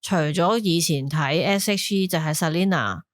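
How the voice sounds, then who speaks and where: A woman with a Hong Kong Cantonese accent co-hosting a casual podcast chat, emotional and animated, swearing freely when worked up. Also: deep voice, moderate pace, dry recording. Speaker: podcast co-host.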